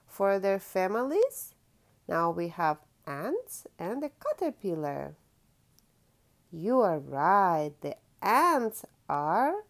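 A woman hosting a children's storytime, reading a picture book aloud expressively, drawing out key words and prompting young listeners like a teacher. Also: mid-range voice.

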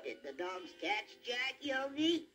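A small, eager young cartoon bear, innocent and full of curiosity. The voice sits very high, in the manner of a children's cartoon sidekick. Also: male voice, sing-song, slow, pushed and bright, soft background music, exaggerated voice. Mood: curious. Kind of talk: cartoon dialogue.

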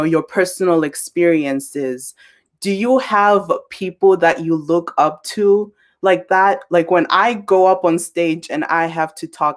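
A woman of twenty from Zimbabwe asking thoughtful questions as a student interviewer on a video call from a bedroom. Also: on the low side. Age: 20s. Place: small bedroom at home. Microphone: laptop webcam on video call.

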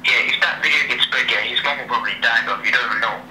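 A man's voice: medium pitch, very fast, bright, forceful tone. A young man chatting casually on a phone call, slangy and repetitive, tagging sentences with "yeah" and "innit". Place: phone speaker held up in a room. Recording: noisy, echoey speakerphone call.

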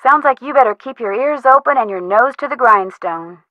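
A woman plays a friendly, inquisitive young sleuth in a video game. Her voice is fairly high, with a slightly dull, dry sound. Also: lively intonation, moderate pace, no room sound, close to an everyday voice. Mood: curious, friendly. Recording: dull, band-limited sound.

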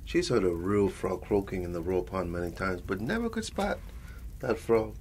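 A man playing an affectionate old grandfather with a Latin American Spanish accent, softly telling a bedtime story in an ASMR roleplay, rambling and repeating endearments as he switches between Spanish and English. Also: sits low, sing-song, moderate pace, slight background noise. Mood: patient, storytelling.

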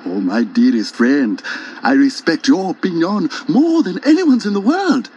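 Florid stubborn storybook villager, mid pitch, slow, hugely animated. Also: male voice, muffled recording, a little hiss or hum. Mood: politely insistent. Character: florid, devoted but stubborn male villager. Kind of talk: children's folk tale acting.

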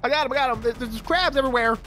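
A man's voice: very high-pitched, racing, bright, forceful tone, faint music behind. A man bantering casually over a video game in a goofy, playfully boastful way. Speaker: gamer. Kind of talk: casual, joking gameplay banter.

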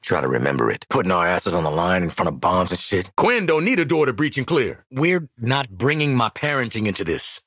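An actor playing a cocky, world-weary assassin in a video game, with a raspy American voice and a brash, streetwise, wisecracking manner. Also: male voice, fairly low pitch, big pitch swings, a little fast, few pauses, a bit bright, no echo, clean studio sound, exaggerated voice. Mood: cocky, wry.

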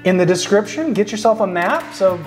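A man's voice: high voice, lively intonation, brisk. A man in his thirties co-hosting a house-flip home tour, chatting casually with an upbeat, joking manner, on a lapel mic in an empty renovated house. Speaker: house flipper co-host. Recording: echoey empty rooms, faint music.

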